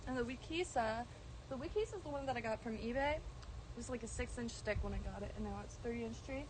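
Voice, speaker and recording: High-voiced woman rambling on a noisy live stream. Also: fast. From Florida. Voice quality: droning at times. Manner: meandering, many ums and restarts, casual swearing. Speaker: plant vlogger streaming live. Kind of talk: casual rambling livestream chat. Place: outdoors in a garden.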